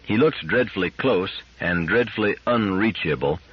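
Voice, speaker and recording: Husky low-voiced man reading a story. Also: lively intonation, moderate pace, highs cut off. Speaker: audiobook narrator. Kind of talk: scripted narration of a science fiction story.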